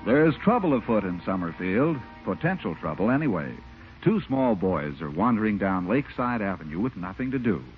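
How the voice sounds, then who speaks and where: A man's voice: on the low side, very animated, fairly quick, over music. A man with an American accent, announcing and narrating a scripted show in 1940s radio style. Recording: muffled vintage radio recording.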